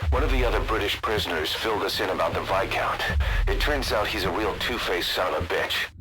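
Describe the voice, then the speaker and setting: Male actor as gruff military deputy, very low voice, hard-boiled briefing, video game, music underneath. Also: close to an everyday voice, rough recording. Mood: serious, suspicious. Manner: brisk, hard-boiled, matter-of-fact. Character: gruff male military second-in-command briefing his boss.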